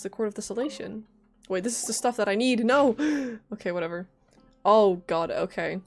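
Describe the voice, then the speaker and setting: A woman livestreaming a game, giving casual, expressive commentary and reading text aloud, with a lingering cough. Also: medium pitch.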